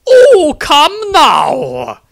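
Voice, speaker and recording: A man voicing a burly, besotted nun with a shrill, drawn-out, gushing delivery in a fantasy story. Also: huge pitch swings, vocal fry, fairly bright tone, full character voice. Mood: coaxing, lovestruck. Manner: gushing, drawn-out, overly enthusiastic.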